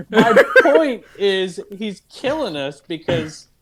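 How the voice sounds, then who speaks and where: A man co-hosting a casual podcast chat, animated and quick to laugh. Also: high-pitched. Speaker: podcast co-host.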